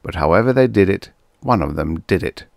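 A man voicing a gruff, suspicious beat policeman who booms and grows exasperated. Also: animated, brisk, dry recording, clean studio sound. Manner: booming, exasperated.

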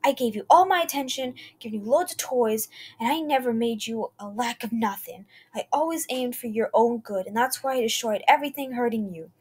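A woman voicing a menacing male toy maker who turns from calm to raving and growls his threats. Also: fairly high pitch, a little fast, natural voice.

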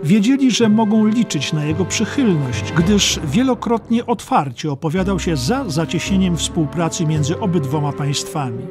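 A man's voice: sits high, animated, moderate pace, hardly any pauses. A man giving scripted narration of a historical documentary audiobook. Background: music.

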